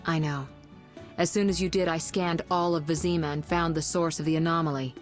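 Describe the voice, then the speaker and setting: A woman playing a commanding, impatient sorceress in a video game, brisk and authoritative. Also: sits low, fairly even intonation, faint music behind, close to an everyday voice. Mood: urgent, exasperated. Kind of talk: video game dialogue acting.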